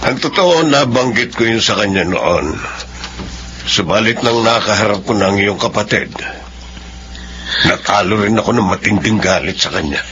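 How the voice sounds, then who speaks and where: An actor playing a stern middle-aged uncle in a radio drama, speaking in a grave, measured way. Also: male voice, on the low side, lively intonation, bright, forceful tone. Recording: dull lo-fi recording with faint noise.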